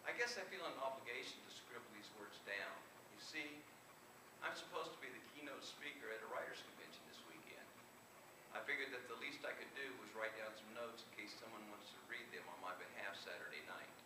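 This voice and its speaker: A man's voice: medium pitch, fairly quick. A man reading first-person horror fiction aloud at a live reading, in the manner of an author presenting his work. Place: indoor room at a convention reading. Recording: roomy, distant live recording with clear noise.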